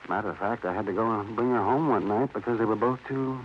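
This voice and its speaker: A man's voice: lowish voice, fast talker, lightly acted. An American actor playing a fussy, chatty, nervously affable male dentist in an old radio crime drama, hesitant and over-polite. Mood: hesitant, confiding. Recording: dull, noisy vintage radio recording.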